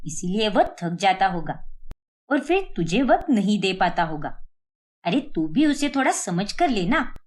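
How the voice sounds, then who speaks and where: Stern older mother-in-law, played by a woman, cartoon drama. Scornful, dismissive, scolding, medium-pitched voice. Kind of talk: Hindi cartoon moral-story voice acting.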